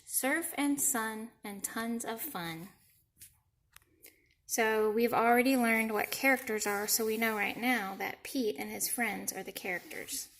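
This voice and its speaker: A woman teacher reading a picture book to young children, deliberate and explanatory, pausing to ask questions. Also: on the high side, fairly bright tone, slight background noise. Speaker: elementary school teacher. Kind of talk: read-aloud lesson for young children.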